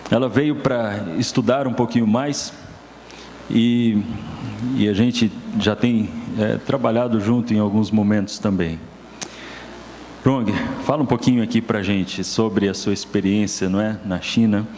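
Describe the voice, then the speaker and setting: A man's voice: fairly low pitch, fairly even intonation, measured pace, darkish tone. A male pastor delivers a sermon in a church, his voice carrying a long hall reverb over a faint background hiss. He builds his points with rising, emphatic questions before calling a guest up to talk. The recording has the open, echoing feel of a sanctuary. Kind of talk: sermon and stage interview.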